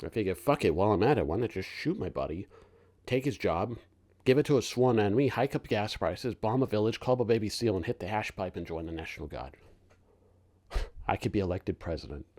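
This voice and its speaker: A cocky young working-class man played by a male actor with a low voice, reeling off a sardonic rant. He is quick-witted, defiant and colloquial, as in a film drama monologue. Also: lively intonation, a little mellow. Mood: sarcastic, defiant.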